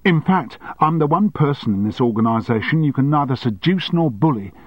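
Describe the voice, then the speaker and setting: A man voicing an indignant, sharp-tongued longtime woman secretary with cutting, sarcastic retorts, on a dry, slightly dull recording. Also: on the high side, expressive, a little fast, breathy edge, no room sound, voice pushed up.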